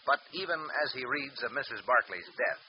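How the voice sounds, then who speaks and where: Guy narrating an old radio serial, measured, dull hissy broadcast. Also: mid-range voice, animated.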